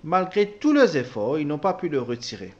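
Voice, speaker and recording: A man interpreting a personal testimony, speaking haltingly with repeated words and self-corrections. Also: on the high side, sing-song, highs cut off. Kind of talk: spoken translation of a personal testimony.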